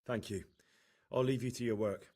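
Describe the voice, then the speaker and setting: A man's voice: mid-range voice, steady tone, normal speed, a little mellow, close to an everyday voice. An actor playing an earnest, dogged young man investigating in a medieval game, plain-spoken and direct. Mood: polite, curious. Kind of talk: scripted game dialogue acting.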